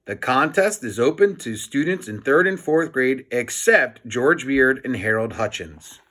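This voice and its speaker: Storybook principal, acted by a male reader: middle-aged, sneering, gruff, forceful delivery with big swoops. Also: medium pitch, lively intonation, a little slow. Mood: smug. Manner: gloating, sneering, shouting at boys.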